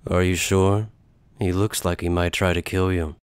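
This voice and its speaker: A soft-spoken, sinister anime man played by a male actor talks in a lowish voice. He is detached and polite, with quiet menace. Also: little pitch movement, moderate pace, smooth, dry recording, clean studio sound, natural voice. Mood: coolly amused. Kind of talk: anime fan dub acting.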